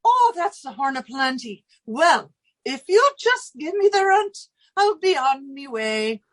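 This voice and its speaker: A garrulous Irish landlady in an old-time radio comedy. Her very high voice bobs up and down with a folksy lilt, demanding yet soft-hearted. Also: sing-song, unhurried, rough recording. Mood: chatty. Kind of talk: 1940s radio comedy acting.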